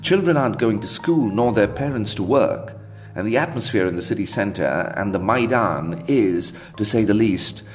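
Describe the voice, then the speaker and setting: A man's voice: fairly low pitch, lively intonation, moderate pace, lightly acted. A man reading aloud voices an anxious, deferential British equerry who speaks politely and hesitantly, with faint guitar music behind. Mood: worried. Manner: polite, hesitant, keeps saying sir.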